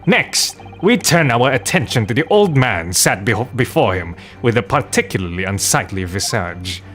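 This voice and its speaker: A man's voice: mid-range voice, sing-song, normal speed, a bit bright, soft background music. A man voicing a pompous, theatrical, eccentric detective from a video game, declaiming grand deductions with dramatic flourishes. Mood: grandly confident. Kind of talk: theatrical read-aloud of video game dialogue.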